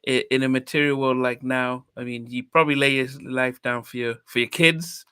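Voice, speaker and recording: A man's voice: mid-range voice, fairly even intonation, normal speed, bright, forceful tone, clear, resonant tone, dry recording, studio quality. A man in his fifties, from Nigeria, hosting a podcast in a reflective, hesitant way with many restarts. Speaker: podcast host. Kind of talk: casual interview chat.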